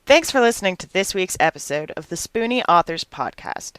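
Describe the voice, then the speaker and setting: Clear, smooth-voiced woman hosting a podcast. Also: fairly low pitch, sing-song, fairly quick, a bit bright, no room sound. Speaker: podcast host, interviewer. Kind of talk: conversational podcast interviewing.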